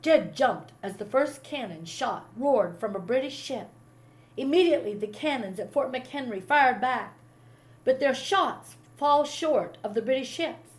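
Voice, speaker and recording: Expressive higher-voiced woman reading a story aloud. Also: a little slow. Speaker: teacher reading a picture book to a class.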